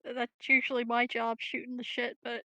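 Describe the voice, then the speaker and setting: A woman banters wryly as a roleplaying game player. Her voice is bright and forward, with fairly flat intonation. The sound is close and dry. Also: fairly high pitch, normal speed, no echo. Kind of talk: casual game chat.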